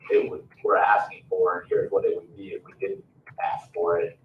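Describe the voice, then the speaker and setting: A man's voice: deep voice, flat delivery, normal speed. A man explaining procedure as an official at a public meeting, informally and with worked examples. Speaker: town official advising a commission. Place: meeting room, picked up from a distance. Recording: roomy, distant, muffled meeting recording with hiss.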